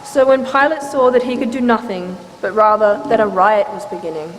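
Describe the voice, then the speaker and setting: A woman giving a measured, solemn scripted narration of scripture as part of a dramatic reading. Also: medium pitch. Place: inside a church, live reading. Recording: reverberant church hall, faint noise.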